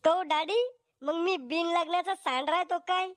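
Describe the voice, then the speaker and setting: Man doing a very high-pitched whiny young bachelor. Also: measured pace, fairly bright tone, dry recording, clean studio sound. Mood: pleading. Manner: pleading, petulant. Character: whiny young bachelor desperate to get married. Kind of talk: Marathi comedy cartoon acting.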